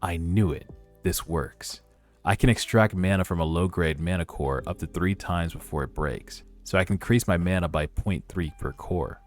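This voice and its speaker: A man voicing a reincarnated young male sorcerer, determined and calculating, in a measured, earnest webtoon monologue. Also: low-pitched, close to an everyday voice. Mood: focused. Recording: music under the voice.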